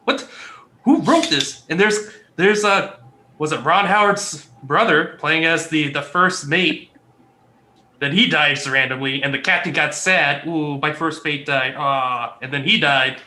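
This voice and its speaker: A woman co-hosting a casual chat, her voice bright and sharp. She rants in an exasperated way, curses and mocks what she describes in little put-on voices. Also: low-pitched, unhurried, slight background noise. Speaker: podcast co-host.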